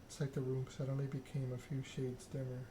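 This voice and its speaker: A man's voice: medium pitch, monotone, a little fast. Evenly spoken man narrating, noisy ambience. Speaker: streamer reading a story game aloud. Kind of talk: soft ASMR narration of a story game. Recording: clearly noisy background ambience.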